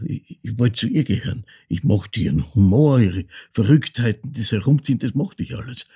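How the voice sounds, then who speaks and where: Radio drama: reflective older man, very husky and creaky, halting, intimate delivery. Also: low-pitched, a little mellow, dry recording, studio quality, heavily acted. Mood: wistful, subdued. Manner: halting, reflective, repeats words, drops to near whisper. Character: older man haltingly recalling his lost, troubled childhood. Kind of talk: intimate first-person monologue in a radio play.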